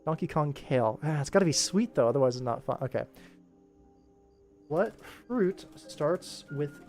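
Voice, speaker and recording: A man's voice: a little high, lively intonation, normal speed, darkish tone. Guy on a chill work stream, kinda rambling to himself while he animates, game music in the background. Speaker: animation director livestreaming his work. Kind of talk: casual thinking-aloud work stream. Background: game soundtrack music.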